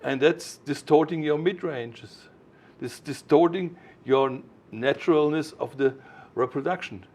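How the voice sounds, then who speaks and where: A man explaining technical matters informally as a product designer, in a didactic, emphatic way with halting phrasing. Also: fairly high pitch, a little mellow.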